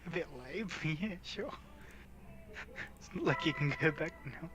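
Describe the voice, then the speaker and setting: A man doing casual, playful game playthrough banter, often breaking into giggles as he reads text aloud. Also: a little high. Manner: playful, easily breaks into giggles mid-sentence. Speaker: video game streamer.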